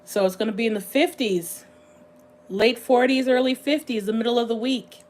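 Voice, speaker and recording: A woman hosting a casual livestream, chatting in a rambling, meandering way, her voice slightly hoarse and going scratchy. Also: a little high, darkish tone. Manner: rambling, chatty, thinks aloud with frequent pauses. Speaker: livestream host.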